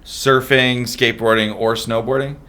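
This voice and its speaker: A man's voice: mid-range voice, flat delivery, normal speed, bright, forceful tone. A young man with a Midwestern accent, hosting a casual podcast chat and laughing often. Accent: Midwestern American. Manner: laughs often, chatty and playful. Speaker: podcast host.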